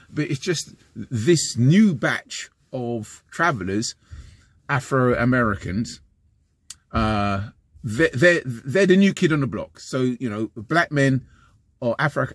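Man in his fifties, West London accent, rambling vlog talk, close camera mic, dry sound, mid pitch. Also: no room sound. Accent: West London English. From London, England. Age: 50s. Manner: rambling, repeats and restarts, animated gestures. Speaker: vlogger. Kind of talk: casual talking-to-camera vlog. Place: inside a parked van, small enclosed space. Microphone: camera close in front of face.

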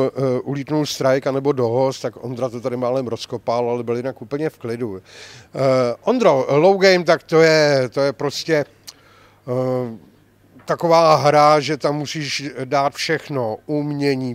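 An older man hosting a bowling tournament, chatting and teasing as he reports and interviews players with a handheld mic in a large bowling alley. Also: medium pitch. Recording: faint hall noise.

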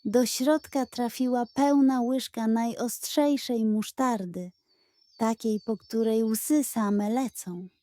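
A woman's voice: fairly high pitch, slow pace, dark tone, dry recording. A woman narrating a scripted bedtime fairy tale for children as the storyteller.

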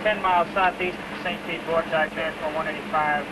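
A man's voice: a little high, fairly even intonation, a little fast. A man working as an air traffic controller, giving procedural radio instructions in set phrases. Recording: noisy old film, control room radio.

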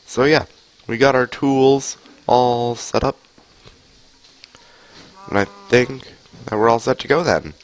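A man's voice: medium pitch, takes it slowly, long pauses, low-quality recording. A young man with a cold, chatting casually as he streams a game on a headset mic at home, rambling with lots of fillers. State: has a cold. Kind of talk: casual gameplay commentary. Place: home room, indoors.

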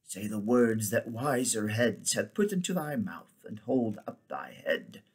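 A man's voice: on the low side, lively intonation, measured pace, slightly rough. A man voicing a cold, contemptuous warrior captain in a storybook, giving curt archaic orders. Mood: cold, commanding.

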